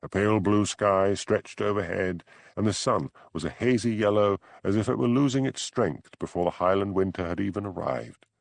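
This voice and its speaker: A man's voice: lowish voice, moderate pace, vocal fry, dry recording. A man reading scripted audiobook narration.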